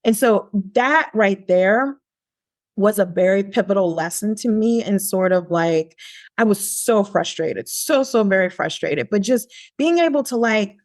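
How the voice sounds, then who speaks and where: A woman guest on a podcast, telling a personal story in a lively, conversational way, often pausing on "like". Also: medium pitch, no echo, studio quality. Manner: animated, lively quoting of her younger self; frequent "like" fillers and restarts.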